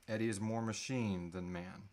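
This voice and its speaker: A man's voice: lowish voice, steady tone, normal speed, darkish tone. Casual, playful man hosting a livestream. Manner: jokey, playful. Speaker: host of a live Q&A show. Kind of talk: casual off-the-cuff livestream chat. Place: small room at home, indoors.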